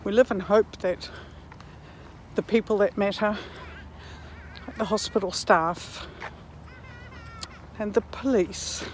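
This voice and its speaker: Slow-talking woman in her sixties, musing outdoors. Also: mid-range voice, a little hiss or hum. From Netherlands and New Zealand. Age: 60s. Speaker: vlogger. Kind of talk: reflective walking vlog monologue. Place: outdoors, walking in a park.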